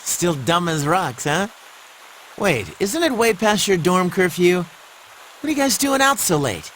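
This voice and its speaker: A man voicing a brash, moody high school boy in an anime game, talking in casual, expressive slang. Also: a little slow, slight background noise, exaggerated voice. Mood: casual, surprised.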